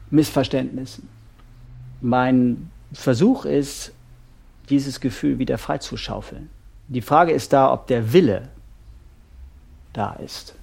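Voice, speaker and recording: An actor playing a couples therapist in a German audio drama, speaking deliberately with pauses and giving directions. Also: male voice, mid-range voice, vocal fry, darkish tone. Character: probing, measured male couples therapist.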